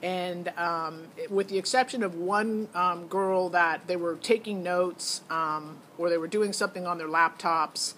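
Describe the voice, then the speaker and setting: A woman's voice: fairly low pitch, fairly even intonation, unhurried, hardly any pauses, a bit bright, slight background noise. A middle-aged American woman giving a casual, opinionated, unscripted monologue that rambles and digresses, as a parent vlogger.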